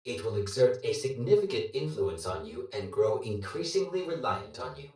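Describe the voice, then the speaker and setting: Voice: medium pitch, big pitch swings, moderate pace, a little mellow. An actor voicing a dutiful, emotionless robot assistant in a video game, with formal, systematic delivery and robotic processing. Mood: formal, neutral. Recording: heavily echoing, distant processed sound.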